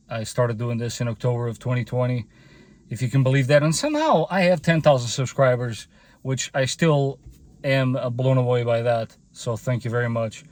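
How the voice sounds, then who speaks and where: A man's voice: medium pitch, darkish tone, no room sound. A man in his forties from Portugal, speaking English with a Portuguese accent as a second language, talking to camera in an earnest, grateful way with many hesitations. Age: 40s. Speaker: channel host and mechanic. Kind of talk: casual heartfelt talk to camera.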